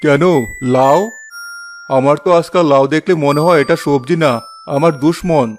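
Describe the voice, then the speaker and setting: A somewhat high male voice over faint music. A man plays a mild, long-suffering middle-aged husband in a cartoon, speaking scripted lines with weary wryness. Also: fairly even intonation, moderate pace, close to an everyday voice. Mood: wry.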